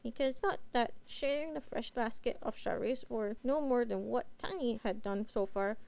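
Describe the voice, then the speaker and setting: A woman voicing a cute, playful young catgirl, telling a bedtime story in a halting, chatty, informal way. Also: fairly high pitch, creaky, darkish tone. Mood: storytelling.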